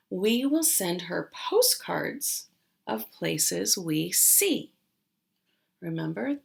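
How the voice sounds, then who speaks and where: Slow-paced female kindergarten teacher. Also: medium pitch, gravelly, breathy edge. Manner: simple explanations with frequent questions to young listeners. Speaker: kindergarten teacher reading aloud. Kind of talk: read-aloud lesson for young children.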